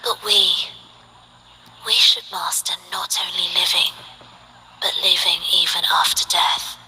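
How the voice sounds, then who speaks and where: A woman playing a soft-spoken, detached and tragic guardian of the underworld in a video game, with a breathy, measured delivery. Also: fairly low pitch, huge pitch swings, noisy background, full character voice. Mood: cool, persuasive. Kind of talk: scripted video game character acting.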